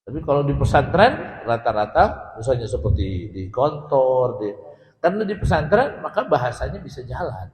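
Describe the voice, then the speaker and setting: A man's voice: medium pitch, sing-song, normal speed, a little mellow. An Indonesian man giving an informal language lesson to a group, explaining and repeating his points and often checking with his listeners. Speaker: Arabic language teacher. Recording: echoey hall with long reverb.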